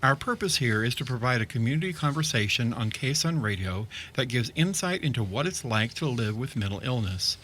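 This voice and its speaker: A man's voice: mid-range voice, lively intonation, brisk, hardly any pauses, a bit bright. A man hosts a community radio talk show with an ordinary mid-range voice. He moves from a read opening to friendly, upbeat chat with his guest. A faint hiss sits under the recording. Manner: scripted opening, then chatty and upbeat with the guest.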